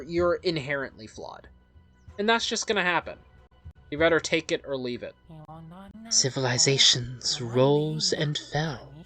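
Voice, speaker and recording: A let's play host reads a game's story aloud in a measured, dramatic way and adds offhand, rambling commentary. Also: mid-range voice, a bit bright. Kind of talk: reading game story aloud with casual commentary. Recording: music under the voice. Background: game music.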